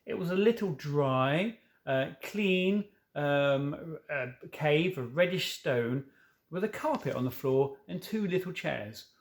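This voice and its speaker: A man's voice: a little high, expressive, slow pace. A man reading a children's novel aloud, stumbling now and then and correcting himself, with informal asides. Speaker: storybook reader.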